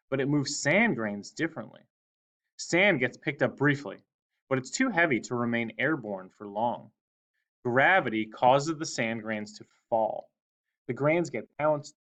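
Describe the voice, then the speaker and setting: A man's voice: medium pitch, fairly bright tone. Male teacher with dull, lo-fi sound. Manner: casual, addresses students directly as "guys". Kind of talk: informal lesson, reading an article aloud.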